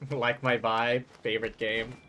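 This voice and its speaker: A man's voice: medium pitch, little pitch movement, unhurried, sharp tone, a little hiss or hum. A man giving casual, wry live commentary as he streams a video game, with game music behind him. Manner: wry, sarcastic.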